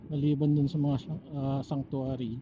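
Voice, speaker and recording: A man with a Filipino accent chatting casually on his own in a reflective way, pausing mid-thought, through a motorcycle helmet intercom microphone. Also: medium pitch, flat delivery. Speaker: motovlogger and angler. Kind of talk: casual, rambling solo vlog chat. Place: outdoors, riding a motorcycle. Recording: dull helmet intercom audio, faint noise.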